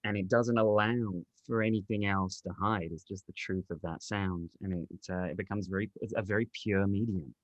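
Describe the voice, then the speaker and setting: A man explaining his work conversationally as an interviewed director, in a measured, halting way. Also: lowish voice, steady tone, no room sound, lo-fi sound. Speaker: audio drama director being interviewed.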